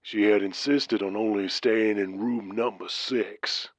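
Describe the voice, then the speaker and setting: A man playing a folksy Southern trucker who calls a late-night radio show, telling an eerie tale in a slow, drawn-out manner. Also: on the low side, steady tone, close to an everyday voice. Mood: ominous, reflective. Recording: muffled, roomy sound.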